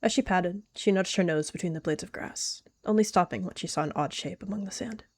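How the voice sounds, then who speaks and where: A woman calmly narrating a roleplay story in a measured way. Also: fairly low pitch, dry recording.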